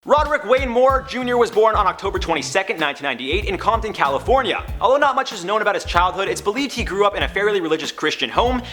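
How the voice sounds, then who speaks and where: A man hosting and narrating a scripted biography video in an energetic, upbeat manner. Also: high-pitched, quick pace, few pauses, faint music behind. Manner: energetic, upbeat YouTube delivery. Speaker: host.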